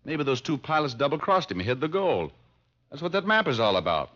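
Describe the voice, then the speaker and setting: An actor playing a shrewd, confident private detective in an old-time radio drama, casual and wry. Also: male voice, medium pitch, big pitch swings, brisk, fairly bright tone. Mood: excited. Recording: muffled old radio recording.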